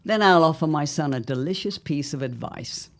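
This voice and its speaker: A scheming, haughty middle-aged mother, played by a woman in an audio drama. Her voice is low and gravelly, and it swoops widely as she speaks in grand, theatrical, drawn-out phrases. She sounds shrewd and entirely sure of her power. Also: lively intonation. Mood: sly, commanding. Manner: grand, theatrical, drawling delivery.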